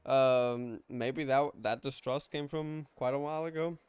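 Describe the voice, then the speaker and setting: A man's voice: medium pitch, fairly even intonation, measured pace, darkish tone, clear, resonant tone. A man giving casual reaction commentary as he plays through a game, thinking aloud hesitantly. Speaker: gamer reacting to a story quest. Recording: game music behind.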